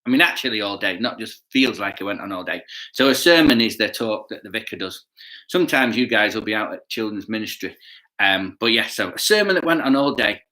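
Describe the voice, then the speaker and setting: A man reading a children's story aloud with chatty asides, playful and expressive, often putting questions to his listeners. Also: fairly low pitch, brisk, rough recording. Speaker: children's bedtime story reader.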